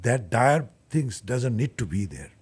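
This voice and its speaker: A man with a Bangladeshi accent being interviewed, explaining his work earnestly and haltingly, often repeating words. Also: mid-range voice, big pitch swings, darkish tone, husky. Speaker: guest, charity furniture bank leader.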